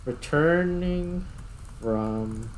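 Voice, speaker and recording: A man giving casual gameplay commentary, thinking aloud in short, halting remarks. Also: a little high, expressive, a little hiss or hum. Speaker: gaming host.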